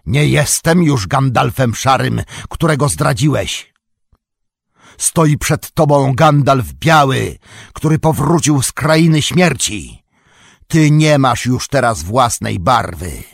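Dude voicing a stern old wizard laying down the law, dry and husky. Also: male voice, low voice, lively intonation, slow pace, no room sound, full character voice. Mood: commanding. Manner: stern, authoritative. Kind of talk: audiobook character reading.

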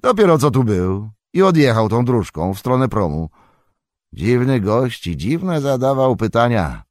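A man voicing a stout, older male hobbit farmer who talks loudly and heartily. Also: very animated, measured pace, no echo, clean studio sound. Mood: hearty. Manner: loud, booming and hospitable.

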